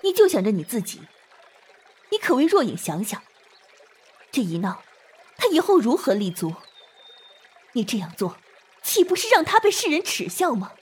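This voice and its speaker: Woman acting husky, expressive martial-household mother. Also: on the high side, full character voice. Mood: stern, chiding. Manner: measured, gently chiding, sighing. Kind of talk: Chinese costume audio drama acting.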